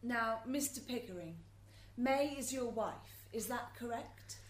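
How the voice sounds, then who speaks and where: A woman's voice: on the high side, measured pace, natural voice. A woman playing a formal, relentless devil's advocate questioning in an amateur radio courtroom drama. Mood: coolly probing. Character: crisp, relentless devil's advocate prosecuting souls. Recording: echoey room, faint noise.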